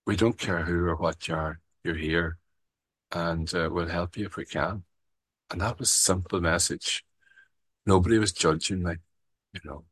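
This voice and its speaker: Low-voiced Irish man sharing his story. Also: fairly bright tone, no echo. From Ireland. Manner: rambling, frank, swears casually, frequent "you know". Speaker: recovery meeting founder sharing his story. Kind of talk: casual personal share.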